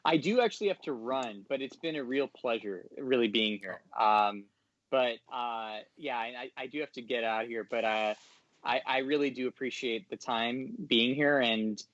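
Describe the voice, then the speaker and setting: Man, medium pitch, hesitant, polite, casual guest chat, muffled dry online call. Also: moderate pace, fairly bright tone, no room sound. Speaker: podcast guest, voice actor. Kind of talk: casual interview talk.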